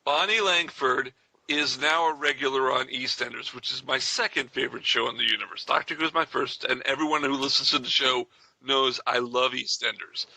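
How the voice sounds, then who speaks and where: A man's voice: mid-range voice, fairly quick, creaky, bright, forceful tone, dry recording, low-quality recording. An American man co-hosting a casual radio interview as an enthusiastic fan. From United States. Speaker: radio show co-host.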